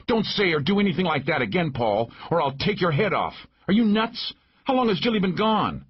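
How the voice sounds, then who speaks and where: A man's voice: medium pitch, very animated, brisk, breathy edge, muffled recording, voice pushed up. A man voicing a hot-tempered, foul-mouthed, combative female sheriff in an audiobook. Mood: furious, shouting. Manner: combative, blunt, cursing. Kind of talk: audiobook character voice.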